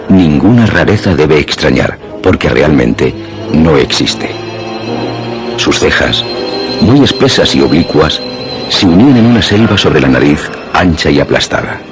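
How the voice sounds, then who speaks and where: Male horror narrator, very low bass voice, solemn, deliberate, literary radio-theatre delivery, loud music behind. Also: animated.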